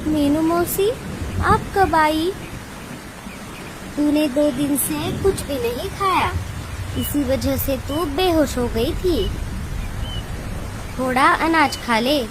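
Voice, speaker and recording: A woman's voice: high voice, animated, takes it slowly, long pauses, background noise. A woman voicing a concerned grown female bird in a cartoon. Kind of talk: cartoon dub acting.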